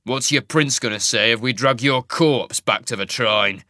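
A grim, spiteful warrior snarls every line in a rasping growl, as a male narrator puts him on. His voice comes out hard-edged and sharp, slow and coarse. The audiobook sound is close and dry. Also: medium pitch, dry recording, natural voice. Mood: snarling. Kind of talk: audiobook character voice.

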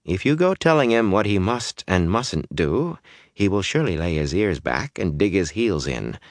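A man's voice: low-pitched, sing-song, moderate pace, no room sound, clean studio sound, pitched above the performer's own voice. A man voicing a motherly village innkeeper woman in an audiobook, coaxing and soothing yet firm.

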